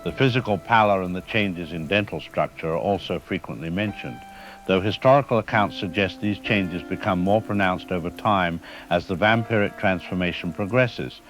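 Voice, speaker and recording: A man playing a grave academic historian in a radio drama, speaking in a formal, measured, lecturing way. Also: sits low, fairly bright tone, soft background music. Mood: scholarly, explaining. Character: grave male academic historian expert on vampire folklore. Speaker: expert interview guest.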